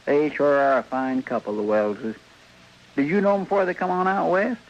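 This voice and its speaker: Actor as a high-voiced folksy western deputy. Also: male voice, moderate pace, close to an everyday voice. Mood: eager, polite. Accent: rural American. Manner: folksy, polite, stammering a little. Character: folksy, deferential deputy to a frontier marshal. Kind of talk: 1950s radio western acting. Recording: muffled old radio recording, faint noise.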